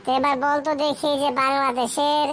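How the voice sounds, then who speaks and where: A woman's voice: high voice, a little fast, slight background noise. A woman voicing a cheerful, teasing cartoon child who quizzes friends. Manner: lively, teasing, mixes in English phrases.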